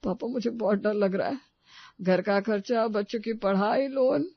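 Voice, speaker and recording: A man's voice: extremely high pitch, expressive, moderate pace, vocal fry, husky, no echo, muffled recording, exaggerated voice. A man voicing an anxious, despairing grown son in a storybook family tale, pleading and fretful. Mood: tearful, afraid.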